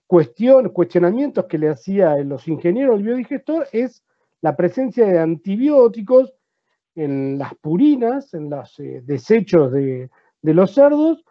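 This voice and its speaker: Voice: medium pitch, dull audio. Argentine veterinarian giving a technical talk. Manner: explanatory, asks the audience rhetorical questions. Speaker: veterinarian speaker. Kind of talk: technical presentation at a seminar.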